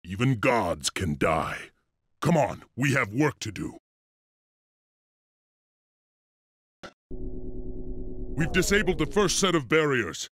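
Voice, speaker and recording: An actor playing a grim, resolute clan leader in a fantasy game, speaking solemnly and with determination. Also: male voice, mid-range voice, sing-song, takes it slowly, fairly bright tone, slightly rough, no room sound, heavily acted. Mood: commanding. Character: grim, resolute male wolf clan leader and rebel hero. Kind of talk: dramatic fantasy game voice acting.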